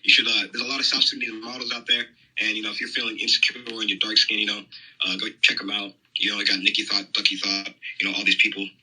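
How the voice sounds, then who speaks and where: Young male caller, bright rough voice, fast and full of fillers. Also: fairly low pitch, little pitch movement, low-quality recording. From United States. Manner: hesitant, many "you know" and "um" fillers. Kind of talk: phone-in conversation.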